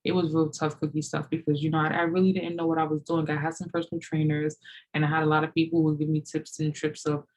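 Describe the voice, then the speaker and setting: A woman's voice: low voice, flat delivery, fast, darkish tone, low-quality recording. A woman in her late thirties answering viewer questions in a loose, rambling chat, full of "you know" and "like". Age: 30s. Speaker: fitness vlogger. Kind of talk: casual Q&A chat.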